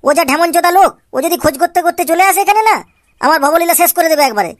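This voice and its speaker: Woman doing a cheeky young cartoon guy, high pitched n quick, bragging wheedler. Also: heavy background noise. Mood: annoyed. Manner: wheedling, chatty, boastful. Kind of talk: comic amateur cartoon dialogue.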